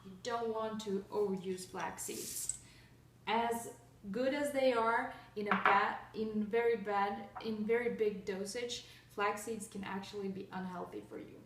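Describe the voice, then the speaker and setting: Slow, chatty woman from Russia, echoey kitchen. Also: mid-range voice, fairly even intonation. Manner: chatty, halting, with many fillers and restarts. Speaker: cooking vlogger. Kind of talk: casual recipe demonstration. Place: home kitchen. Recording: echoey kitchen, voice far from mic.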